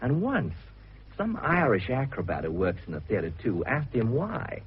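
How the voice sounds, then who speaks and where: A man's voice: mid-range voice, huge pitch swings, normal speed, husky, muffled recording, heavily acted. An actor playing an earnest young British man recounting an eerie mystery in a radio drama, with a suspenseful storytelling manner. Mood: intrigued. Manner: storytelling, suspenseful, hesitant asides. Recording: thin, noisy old radio recording.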